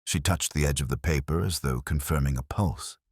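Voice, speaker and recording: Very deep-voiced man narrating a mystery. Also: a little fast, breathy edge, no echo, clean studio sound. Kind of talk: scripted first-person mystery narration.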